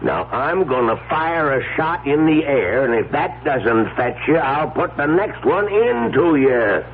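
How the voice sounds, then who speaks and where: An actor playing a gruff, suspicious rural lawman in a radio drama, with folksy, laconic talk. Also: fairly high pitch, animated, a little slow. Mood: stern. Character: gruff rural lawman, suspicious, stubborn, slow-reasoning man. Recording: tinny band-limited radio, faint hiss.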